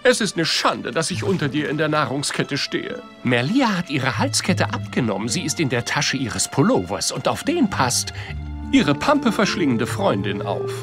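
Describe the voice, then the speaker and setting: An actor voicing a sly, bossy talking fish in an animated audio play, with a theatrical, sarcastic, condescending delivery. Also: mid-range voice, moderate pace, music in the background, lightly acted. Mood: mocking. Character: sly, bossy, mocking talking fish scheming in undersea adventure.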